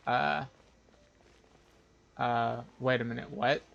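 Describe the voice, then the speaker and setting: A man's voice: medium pitch, flat delivery, slow pace, creaky, fairly bright tone, dull audio. A man doing casual live game commentary, thinking aloud in a hesitant, wry way. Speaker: game streamer.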